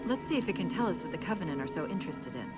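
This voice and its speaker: A woman playing a quick-witted female AI companion in a video game, articulate and brisk, with dry wit. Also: on the low side, very animated, over loud music. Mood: calm, analytical. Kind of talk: video game cutscene voice acting.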